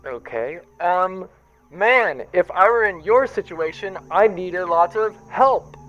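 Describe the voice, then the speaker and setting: A man's voice: high-pitched, expressive, slow pace, a bit bright, highs cut off, heavily acted. A man playing a hapless, sarcastic accountant aboard a privateer spaceship, talking in an exasperated, wordy way for a comic sci-fi audio drama. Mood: nervous, stilted.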